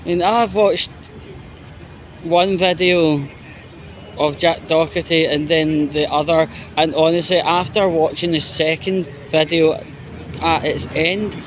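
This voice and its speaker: A man's voice: fairly high pitch, fairly even intonation, slow pace, slight background noise, poor audio. A man giving an opinionated, exasperated, sweary commentary in a casual vlog style. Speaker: YouTuber.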